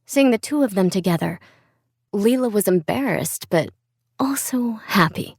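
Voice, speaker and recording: A woman reading scripted literary fiction as an audiobook narrator, with measured, expressive storytelling. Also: medium pitch, slightly rough, no room sound, clean studio sound. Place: dry studio.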